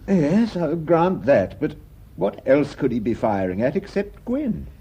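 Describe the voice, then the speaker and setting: Puzzled police inspector, swooping mellow voice. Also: male voice, a little high, very animated, a little slow, vocal fry, breathy edge, heavily acted. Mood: sceptical. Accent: British. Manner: questioning, hesitant. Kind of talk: British radio mystery drama. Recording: muffled radio recording with faint noise.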